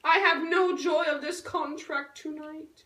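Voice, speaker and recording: A woman playing a lovestruck, earnest young noble girl in a puppet show, speaking Shakespearean verse in a theatrical, drawn-out way. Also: sits high, steady tone, fairly bright tone. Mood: anxious, tender. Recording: roomy echo, distant microphone.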